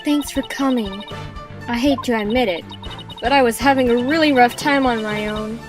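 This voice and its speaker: A woman's voice: a little high, normal speed, close to an everyday voice. A woman playing an eager, caring young rookie lawyer with a sincere, friendly manner in a game fandub. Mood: grateful, eager. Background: prominent game music.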